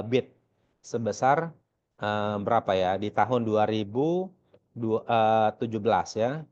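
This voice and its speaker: A man's voice: fairly low pitch, a little mellow. An Indonesian man lecturing conversationally through a worked exercise, often asking questions and answering them himself. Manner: conversational, asks and answers own questions, frequent check-in tags. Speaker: accounting lecturer. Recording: dull, lo-fi voice recording.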